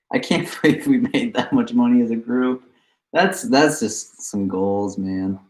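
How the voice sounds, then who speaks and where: A man's voice: mid-range voice, slow. A young man with a nasal voice, chatting casually and upbeat on a trading live stream. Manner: laid-back, upbeat, chatting about trades between songs.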